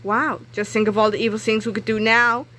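A woman voicing an excitable, mischievous schoolboy from a comic storybook, exclaiming emphatically. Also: on the high side, fairly quick. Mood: scheming.

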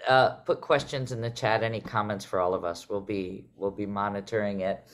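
A woman's voice: rumbling low, fairly even intonation, a little fast. A middle-aged woman hosting a panel conversation over a video call in a welcoming manner. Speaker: host, theater artistic director.

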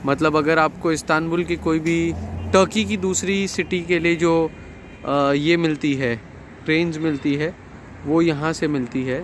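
Guy in his 30s showing a flat on his phone, slow and halting, bit high voice, faint traffic behind. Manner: slow, hesitant, with many fillers. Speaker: property tour guide. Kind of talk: casual property walkthrough. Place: terrace and empty apartment rooms outdoors and indoors. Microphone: phone camera held at arm's length.

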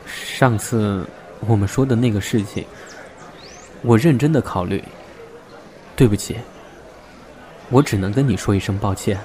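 A man acting a reserved, guarded young man in a modern audio drama, speaking with earnest restraint and gentle firmness. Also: fairly low pitch, measured pace, pauses often, slight background noise, natural voice. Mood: apologetic. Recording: music under the voice.

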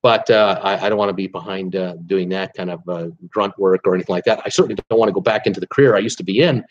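A man's voice: low voice, fast. A man in his sixties talks in a loose, rambling way on a live stream, with plenty of "uh"s between thoughts. The sound is a bit muffled, as from a webcam mic in a small home office. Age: 60s. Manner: rambling, chatty, frequent "uh" fillers, animated hand gestures. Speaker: cruise travel YouTuber hosting a livestream. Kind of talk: casual live-stream chat reading viewer comments. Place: home office with shelves of cruise mugs, indoors.

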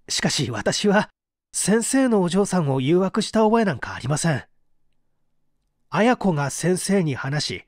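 Radio drama murder suspect , played by a man: embittered male painter, thirties, self-justifying, widely varied pitch, dry close recording. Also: no room sound. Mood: defensive, resentful. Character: bitter, defensive orphaned male painter in his thirties, suspected. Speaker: voice actor reading a radio drama. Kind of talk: dramatized mystery reading.

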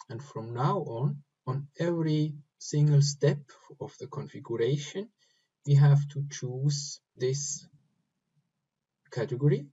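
A man giving step-by-step instructions for a software screen recording, speaking in short, halting phrases. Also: medium pitch, husky, highs cut off. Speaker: software tutorial presenter. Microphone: voice-over recorded over screen capture.

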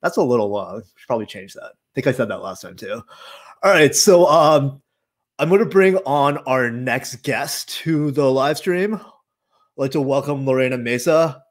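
A man's voice: mid-range voice, animated, moderate pace. Casual man hosting a livestream. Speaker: host. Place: room at home, indoors. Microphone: video call from a desk.

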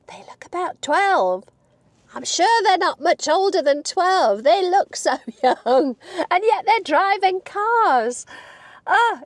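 A woman in her late fifties talks to her viewers from the driver's seat of a parked car, her voice croaky from a cold. She is chatty and animated and laughs often, with a slightly high pitch. The sound is close and dry, picked up by a lapel mic. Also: dry recording. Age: 50s. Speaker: vlogger. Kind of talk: casual talk to camera.

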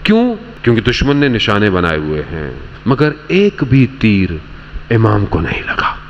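A man preaching a religious sermon, telling a story with passion and climbing to high emotional peaks. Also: sing-song, measured pace, dull audio, a little hiss or hum. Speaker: religious orator. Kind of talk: Muharram majlis sermon.

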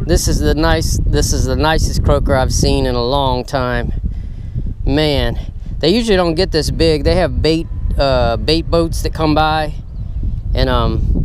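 Excited man filming his own fishing trip. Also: a little high. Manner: excited, talks straight to viewers. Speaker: fishing channel host. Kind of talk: casual fishing vlog narration. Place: outdoors on the water, fishing. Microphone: handheld camera mic. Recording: outdoor camera audio, clear background noise.

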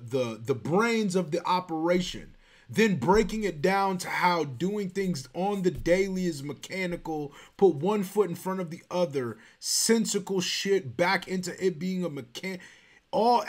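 Brief: man in his thirties, reaction host, high voice that swings wide, slow drawn-out hype, small bedroom on a webcam. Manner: hyped, exclaims and swears, drawn-out praise. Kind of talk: excited reaction commentary. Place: small bedroom with gaming chair and storage drawers.

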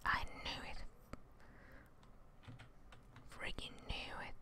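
A young woman whispering breathy, hushed ASMR commentary over a video game, close to the microphone. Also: extremely slow. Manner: hushed, murmuring short reactions to the game. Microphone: close studio microphone with headset on.

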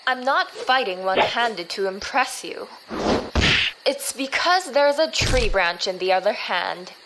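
A woman's voice: medium pitch, expressive, measured pace, very noisy. A woman playing a fierce, protective young swordswoman in an audio drama, commanding and clipped. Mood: indignant, fierce.

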